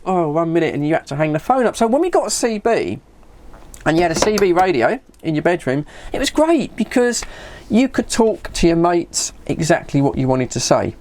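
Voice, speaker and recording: Animated middle-aged British man chatting casually. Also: fairly high pitch, moderate pace, a little hiss or hum. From United Kingdom. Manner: acts out remembered conversations, repeating words as he goes. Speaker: radio hobbyist vlogger. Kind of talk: casual chat with anecdotes.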